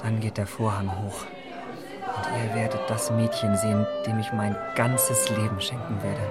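A man acting an impressionable young gentleman in love in a German radio play, effusive, romantic and earnest. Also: on the low side, little pitch movement, moderate pace, natural voice. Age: young adult. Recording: clear music behind the voice.

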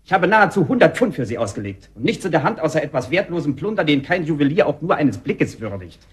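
A smug swindler posing as a respectable householder in a radio play. His husky voice swings widely in pitch. He is mock-polite and sardonic, wrapping every taunt in theatrical courtesy. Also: big pitch swings, fast talker, heavily acted. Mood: indignant, mocking. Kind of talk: German radio drama acting.

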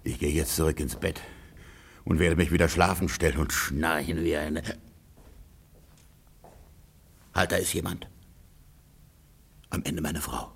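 A man's voice: extremely low pitch, fairly even intonation, moderate pace, creaky, pauses often, breathy edge, heavily acted. A man playing a jealous rich farmer husband in a radio play, blustering, exasperated and given to muttered threats. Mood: muttering. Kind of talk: German radio play acting.

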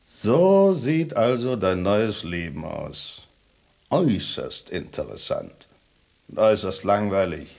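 Proud, gravelly-voiced tomcat. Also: male voice, lowish voice, big pitch swings, takes it slowly, darkish tone, heavily acted. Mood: smug, sardonic. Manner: haughty, sardonic, eloquent mock-formal address. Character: proud, scornful lone tomcat, streetwise and indignant. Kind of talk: radio drama acting.

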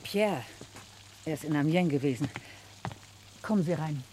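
An actress playing a tight-lipped, bitter farm woman in her forties in a radio crime drama, speaking in a halting, terse, guarded way. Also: low-pitched, noisy background. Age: middle-aged.

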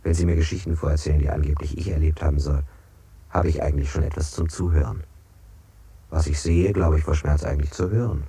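An actor playing an interrogated man who evasively recounts oddly precise details in measured, literary phrases, in a 1960s German radio play. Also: rumbling low, steady tone, mellow. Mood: detached, insistent.

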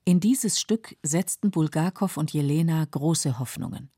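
A woman reading scripted biographical narration in a measured, matter-of-fact way, as a documentary narrator. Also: deep voice, warm tone, breathy edge, no echo, clean studio sound. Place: dry studio.

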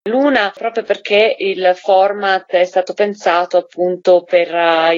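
A woman's voice: fairly low pitch, no room sound, highs cut off. A woman, a theatre director, methodically explaining her work as a guest in a radio interview.

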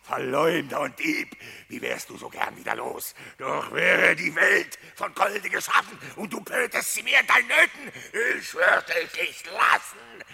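A man's voice: sits high, measured pace, sharp tone, exaggerated voice. An actor playing a grim, vengeful male wolf in a radio play, growling his threats. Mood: furious. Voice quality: gravelly, raspy.

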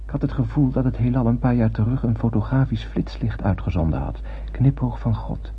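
An actor playing an eager, awestruck young sailor on a spaceship in a radio play, speaking earnestly and poetically. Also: male voice, mid-range voice, a little fast, lightly acted. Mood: awed, brooding. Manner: earnest, poetic, literary delivery. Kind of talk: radio drama acting. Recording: muffled radio play, clear background hum.

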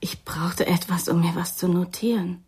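A woman's voice: lowish voice, steady tone, moderate pace, a little mellow, husky. An actress playing a frightened, evasive woman in a noir radio play, hesitant and guarded, with a tired, toneless voice. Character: frightened, weary young blonde woman under suspicion, evasive.

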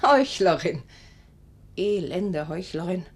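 A woman's voice: fairly low pitch, lively intonation, takes it slowly, slightly rough, full character voice. A woman playing a haughty, spiteful forty-year-old stepdaughter in a 1950s radio play, sneering and biting. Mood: spiteful, accusing.

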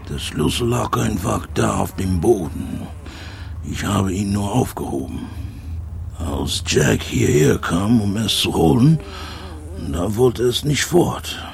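An actor playing a bitter old jazz trumpeter, broken and haunted, rambling and repeating himself in a German radio drama. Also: male voice, medium pitch, vocal fry, a bit bright, slight background noise, full character voice. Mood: agitated, indignant, then anguished, bitter.

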